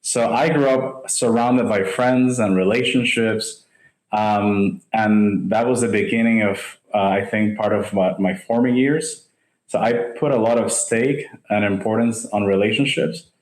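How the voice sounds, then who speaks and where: A man from Rico, a podcast guest speaking in a measured, businesslike way. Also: on the low side, steady tone. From Puerto Rico. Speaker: guest, home builder. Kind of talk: podcast interview. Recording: echoey room.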